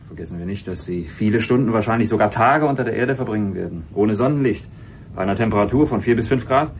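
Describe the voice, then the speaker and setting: A man's voice: expressive, quick pace. A man playing an amiable expedition doctor in a radio drama, explaining things articulately. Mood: amiable, explanatory. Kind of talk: radio drama acting as interviewee. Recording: clear background noise with rumble.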